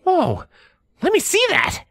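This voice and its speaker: A man's voice: very high-pitched, huge pitch swings, slow, a bit bright, no echo, clean studio sound, pitched above the performer's own voice, heavily acted. A man voicing an eager, awkward male unicorn scholar with a hesitant, chattery way of talking for a storybook reading. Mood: excited.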